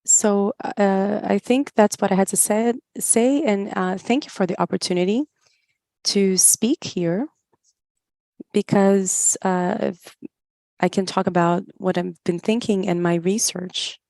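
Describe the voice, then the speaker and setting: A woman giving a live spoken interpretation of a talk, in halting phrases with pauses mid-thought. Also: medium pitch, vocal fry, mellow, no room sound. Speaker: interpreter voicing another speaker's words.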